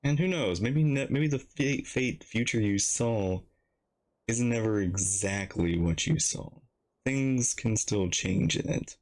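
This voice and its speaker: A man's voice: mid-range voice, lively intonation, takes it slowly. A man giving casual reaction commentary on a game as a streamer, musing aloud in a hesitant, conversational way. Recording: game music behind the voice.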